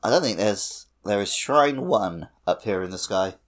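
A man's voice: fairly low pitch, lively intonation, moderate pace. A man chatting casually about a video game as a podcast co-host.

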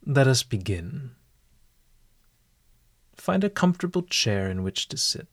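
A man narrating a scripted guided meditation as host, with formal, deliberate, ornate phrasing. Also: medium pitch, very animated, no echo, studio quality.

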